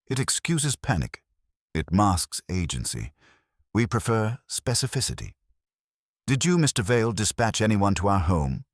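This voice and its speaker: A man's voice: lowish voice, sing-song, moderate pace, no echo, studio quality, voice pushed up. A man voicing an imperious, pedantic genius detective in an audiobook, with pompous, precise and formal speech.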